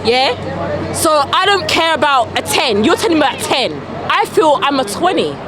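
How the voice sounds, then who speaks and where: A woman's voice: high voice, sing-song, moderate pace. A woman in her forties, interviewed on the street, insisting on her point and repeating herself over the others in a heated debate. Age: 40s. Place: outdoors on a busy street. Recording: street crowd and traffic noise.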